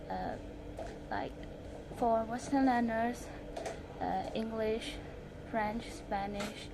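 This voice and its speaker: Teen female vlogger, Burmese accent, slow halting delivery in short phrases, heavy background noise. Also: medium pitch. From Myanmar. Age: 16, teenager. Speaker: language-learning vlogger. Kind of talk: self-study tips presentation to camera.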